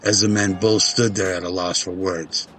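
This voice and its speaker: Deep, even-toned male narrator, bright edge. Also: normal speed, a little hiss or hum. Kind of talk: scripted audio drama narration.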